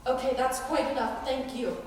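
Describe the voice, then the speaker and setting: Teen female actor as indignant nun, high voice, measured sarcastic stage delivery, distant in a noisy hall. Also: fairly even intonation, close to an everyday voice. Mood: sarcastic, defiant. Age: teenager. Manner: emphatic, haughty, sarcastic. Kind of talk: high school stage play acting. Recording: distant, echoey hall with background noise.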